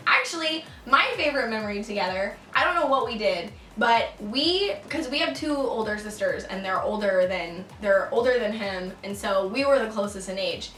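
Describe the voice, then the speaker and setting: A woman's voice: medium pitch, bright, forceful tone. A woman in her twenties hosting a casual vlog chat, laughing and telling stories. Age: 20s. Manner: chatty, laughing, storytelling. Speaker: vlogger host. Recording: roomy echo, mic at a distance, faint music. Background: faint music.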